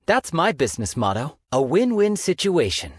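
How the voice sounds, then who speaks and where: An actor playing a sly young male stockbroker in a game, polite and businesslike with a quiet menace. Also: fairly high pitch, big pitch swings, normal speed, husky, no room sound, clean studio sound. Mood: suave. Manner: suave, polite yet menacing, business jargon. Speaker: game character voice lines. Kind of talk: studio game voice acting. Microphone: close studio mic.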